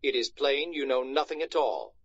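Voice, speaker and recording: A man voicing a grandiose, deluded gentleman knight in a storybook, declaiming pompously, on a slightly muffled recording. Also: mid-range voice, lively intonation, moderate pace, breathy edge, dry recording. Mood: imperious. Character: grandiose, deluded old-fashioned Spanish knight, lean gentleman. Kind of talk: storybook read-aloud.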